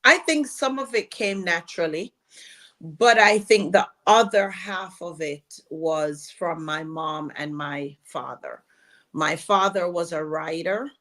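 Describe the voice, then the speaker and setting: A Jamaican woman in her forties, a guest telling stories about her childhood in an unhurried way. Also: on the low side, a bit bright. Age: 40s. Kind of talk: conversational interview.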